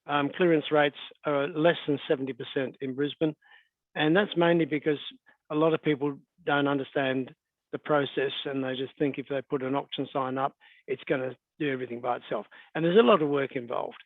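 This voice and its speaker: A middle-aged Australian man talks through a webinar in an easygoing, chatty way, his voice a little croaky from a cold. He sits on the higher side for a man. The sound is thin and phone-like, dry, as from a video call. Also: moderate pace, no echo. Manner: relaxed, conversational, frequent fillers and throat clearing. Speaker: real estate agent and auctioneer. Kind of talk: webinar presentation.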